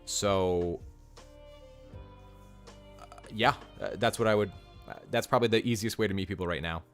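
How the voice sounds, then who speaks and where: Young male coach, deep low voice, blunt and repetitive, casual live-stream advice, faint music under it. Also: normal speed. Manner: blunt, direct advice, repeats key points.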